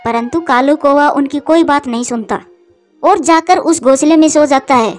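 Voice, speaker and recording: A woman's voice: sits high, normal speed, faint music behind. A woman narrating a scripted children's story for a cartoon.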